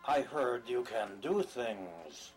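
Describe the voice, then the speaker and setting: A man playing a timid country farmer on the run, humbly pleading in a halting, stammering way in a drama. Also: mid-range voice, animated, faint music behind. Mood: nervous, pleading. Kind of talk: dramatic dialogue in an audio play or table reading.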